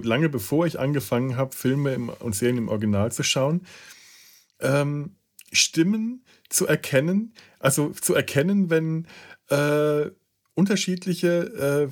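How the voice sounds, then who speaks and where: A man chatting casually as a podcast co-host, often hesitating and searching for words. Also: fairly high pitch. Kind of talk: casual chat about film dubbing.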